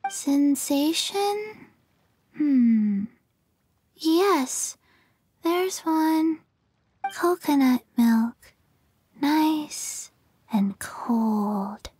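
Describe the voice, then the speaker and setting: A woman voicing a small, listless zombie girl in a game, halting and drowsy in a childlike deadpan. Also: high-pitched, full character voice. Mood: blank, pensive.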